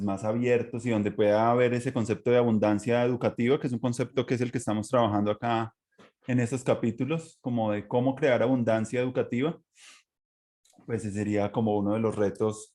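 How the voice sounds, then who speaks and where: A Colombian man speaks as a podcast host at an ordinary middle pitch for a man. He talks in a reflective, conversational way, as in an informal interview. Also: fairly even intonation, a little fast, dark tone.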